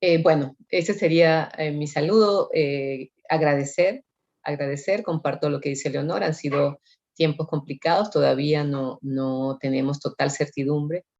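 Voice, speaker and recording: A woman from Venezuela, speaking as a guest researcher on a video call, warm and chatty with frequent fillers. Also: low voice, normal speed, dull audio. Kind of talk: conversational panel talk.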